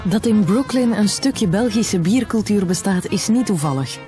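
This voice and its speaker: A Flemish-accented woman reads a documentary voice-over in a steady, informative way. Clear music plays underneath her voice. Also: medium pitch, moderate pace. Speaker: narrator. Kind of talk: scripted voice-over narration.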